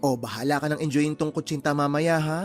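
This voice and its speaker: A woman's voice: low voice, a little fast, faint music behind, close to an everyday voice. A woman playing a breezy, teasing best friend in a radio drama, chatty and playfully exaggerated. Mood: cheerful, breezy. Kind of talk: Tagalog radio drama acting.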